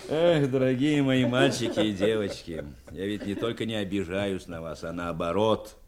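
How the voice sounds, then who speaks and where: An actor playing an ageing, genial but exacting war veteran turned teacher in an audio drama, with a hearty, wry manner. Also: male voice, on the low side, normal speed, crowd noise, lightly acted. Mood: wry, fond. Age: older.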